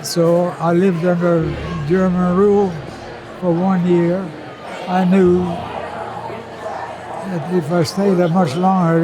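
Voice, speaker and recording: An elderly man from Norway with a Norwegian accent, slowly recalling his wartime service as a veteran in an interview. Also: sits high, low-quality recording. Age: older. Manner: slow, measured recollection. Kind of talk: interview testimony. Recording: music and crowd behind.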